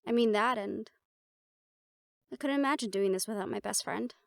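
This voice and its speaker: A woman's voice: mid-range voice, normal speed, creaky, no echo, studio quality, natural voice. A woman playing a confident, playful siren-banshee warrior in a conversational audio roleplay, talking intimately to the listener. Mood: grateful, sincere.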